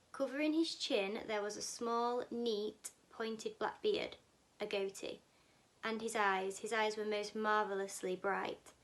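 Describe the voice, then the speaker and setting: A woman reading a children's story aloud as a narrator. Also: medium pitch. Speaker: audiobook reader, teaching assistant.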